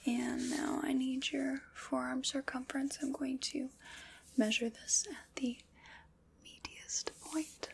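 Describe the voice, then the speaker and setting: A woman's voice: high-pitched, little pitch movement, vocal fry, slight background noise, heavily acted. A woman playing a whispering armor fitter. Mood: gentle, attentive. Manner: soft whispering, slow and attentive. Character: calm polite woman fitting armor, measuring. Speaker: ASMR roleplay creator. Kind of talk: ASMR roleplay whispering.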